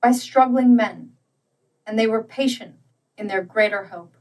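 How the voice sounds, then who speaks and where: A woman reads classic fiction as an audiobook narrator. Her voice is fairly high and her phrasing slow, deliberate and dramatic. She sounds slightly distant from the microphone. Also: darkish tone. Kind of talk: dramatic literary storybook reading.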